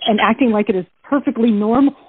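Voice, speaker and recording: Halting woman on a tinny phone line. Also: fairly high pitch, noisy background. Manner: halting, repeats words while explaining. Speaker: cast member. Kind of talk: casual interview chat.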